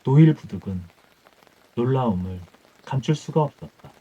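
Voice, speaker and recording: A man's voice: medium pitch, lively intonation, normal speed, dark tone, husky. A man reading an old folktale as scripted bedtime audiobook narration. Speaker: audiobook storyteller. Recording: dull muffled audio with music.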